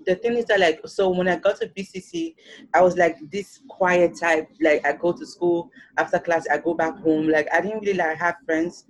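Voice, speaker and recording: A young woman with a Nigerian accent, a student guest speaking casually and haltingly in a podcast interview. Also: lowish voice, a little hiss or hum, low-quality recording. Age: young adult. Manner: hesitant, filler-heavy with frequent "like".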